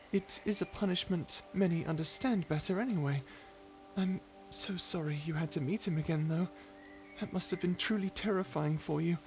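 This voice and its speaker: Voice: mid-range voice, close to an everyday voice. A performer voicing a kind guardian angel in an ASMR roleplay, soothing, earnest and encouraging. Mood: hesitant, grave. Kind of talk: ASMR roleplay character acting.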